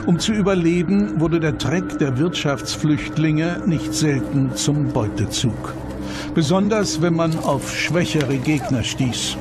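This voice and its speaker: Male narrator, fairly high voice. Deliberate, dramatic documentary storytelling over prominent, loud music. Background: loud background music.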